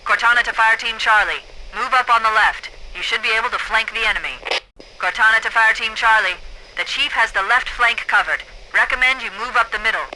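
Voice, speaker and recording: A woman's voice: fairly high pitch, a little fast, bright, forceful tone, slight background noise, low-quality recording. An actress playing a composed, authoritative female military artificial intelligence in a video game, giving clipped commands through a radio filter. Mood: brisk, authoritative. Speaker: AI tactical radio coordinator.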